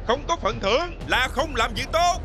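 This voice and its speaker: An actor voicing a pushy, excitable townsman in a cartoon, speaking insistently. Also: extremely high pitch, moderate pace, loud music behind the voice. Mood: demanding.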